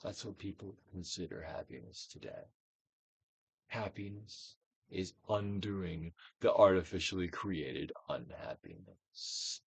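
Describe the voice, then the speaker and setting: Male narrator, low gravelly voice, theatrical and deliberate reading of self-help instruction. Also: no room sound. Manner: theatrical, deliberate actorly delivery with emphatic swells and hushed drops. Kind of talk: theatrical dramatic reading, guided-meditation instruction.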